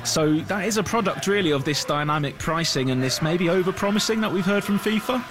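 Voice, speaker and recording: A man's voice: high-pitched, brisk, music in the background. A man speaking as a business reporter in a live news discussion, conversational and often checking in with tag questions.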